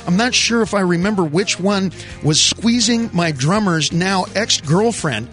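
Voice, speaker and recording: A man's voice: high voice, lively intonation, a little slow, a bit bright, faint music behind. A man hosting a call-in radio show, joking and rambling while he reviews songs and tells his board operator what to play. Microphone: studio microphone.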